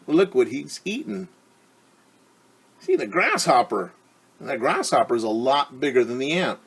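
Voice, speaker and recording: Animated man reading to young children. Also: mid-range voice, creaky, breathy edge. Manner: animated, explaining, asks children questions; playful sound effects. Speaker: teacher reading a picture book to children. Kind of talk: children's story time read-aloud.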